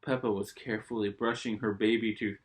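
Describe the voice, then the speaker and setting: A man's voice: on the low side, steady tone, brisk. A man reading a children's storybook aloud expressively, with simple asides for young listeners.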